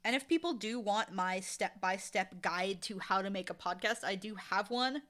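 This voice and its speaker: A woman's voice: medium pitch, normal speed, fairly bright tone. A woman chatting casually as an interview guest, talking in an enthusiastic, emphatic way. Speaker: interview guest, audio drama showrunner and dialogue editor.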